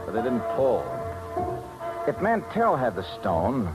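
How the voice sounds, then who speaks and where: An American actor playing a shrewd, wry, hard-boiled investigator in a 1950s radio detective drama, with a clipped delivery. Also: male voice, mid-range voice, wildly swooping pitch, unhurried, rough recording. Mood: wry narration. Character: shrewd, wry, tough male insurance investigator. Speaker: lead actor and first-person narrator. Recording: vintage 1950s radio recording, music behind.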